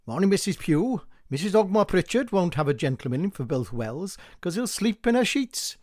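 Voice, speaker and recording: A man's voice: sits high, sing-song, moderate pace, darkish tone, no echo, clean studio sound, voice pushed up. A man playing a gossipy village postman who passes news along with the letters. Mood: chatty.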